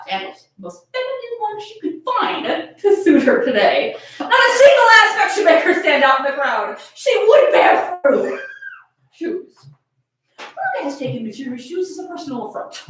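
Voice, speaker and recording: A woman reading a comedic fan fiction story aloud in a theatrical, amused way. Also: extremely high pitch. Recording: very echoey room, far from mic.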